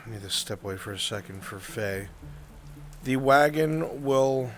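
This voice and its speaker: A man's voice: mid-range voice, lively intonation, unhurried, fairly bright tone. A man running a live online roleplay as game master, with music and rain behind his voice. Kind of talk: live VR roleplay narration and direction. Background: music and rain ambience.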